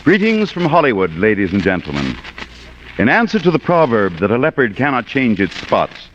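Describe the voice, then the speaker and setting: An American man hosting a radio theater, giving a scripted introduction in a formal, measured 1940s broadcast manner. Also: mid-range voice, wildly swooping pitch. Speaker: guest producer and host of a radio theater. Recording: muffled, noisy vintage radio broadcast.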